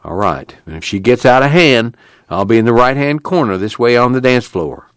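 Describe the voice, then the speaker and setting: A man's voice: medium pitch, expressive, moderate pace, smooth, no echo, voice pushed up, close to an everyday voice. A man voicing a worried migrant camp leader in a storybook, with earnest, folksy rural speech. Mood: wary. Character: plain-spoken, worried male migrant camp committee leader.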